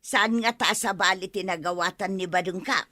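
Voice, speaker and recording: A woman's voice: medium pitch, normal speed, bright, forceful tone, no echo, natural voice. A woman playing a nosy, puzzled village woman with an Ilocano accent in a radio comedy drama. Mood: gossiping.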